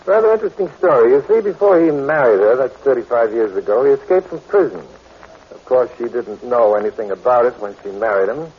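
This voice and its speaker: An American actor playing a coolly calculating blackmailer in a 1940s radio drama, smug and insinuating. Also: male voice, mid-range voice, animated, brisk, slightly rough. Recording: muffled old radio recording.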